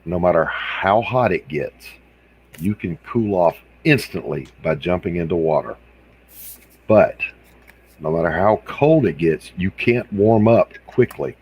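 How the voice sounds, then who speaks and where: A man's voice: low voice, a little mellow, husky. A man from South Carolina with an American accent, chatting casually in a wry, joking way. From Hilton Head Island, South Carolina. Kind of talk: casual chat.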